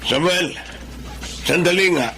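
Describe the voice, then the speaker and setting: A man's voice: high-pitched, slow, music in the background. An actor playing an irresponsible, reproachful middle-aged father in a Tagalog radio drama, scolding and defensive. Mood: stern, reproachful.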